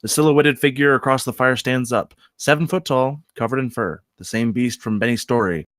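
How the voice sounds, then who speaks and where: A man with a medium-pitched voice narrates a screenplay, reading out scene headings and action. He puts deliberate dramatic weight on phrases, and the sound is dry with no room to it. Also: fairly bright tone, no room sound. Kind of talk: reading screenplay stage directions aloud.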